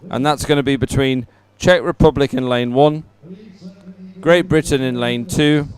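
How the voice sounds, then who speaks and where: A man co-hosting live sports coverage, explaining things in a measured way. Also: mid-range voice, fairly even intonation. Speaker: sports commentator and co-host. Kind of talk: live sports broadcast commentary.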